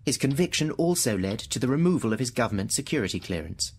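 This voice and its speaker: An actor playing a logical, unfeeling machine intelligence in a radio drama, answering in clipped, formal, computer-like phrases. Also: male voice, medium pitch, sing-song, quick pace, slightly rough. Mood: matter-of-fact.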